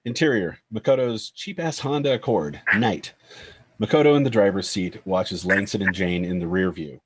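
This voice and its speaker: A man narrates screenplay action and scene headings. His voice sits low with a rough, husky grain, recorded dry and close. The reading is scripted. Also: dry recording. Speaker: narrator reading stage directions.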